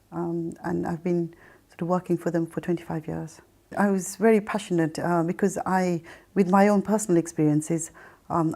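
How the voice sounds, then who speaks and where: A middle-aged woman recounts her life in a reflective interview testimony. Her voice is mellow and slightly low. She speaks haltingly, often pausing partway through a phrase to find the next one. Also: gravelly. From Kenya, raised in Mombasa and then England. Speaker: interview guest telling her life story. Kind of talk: personal testimony, reflective recollection.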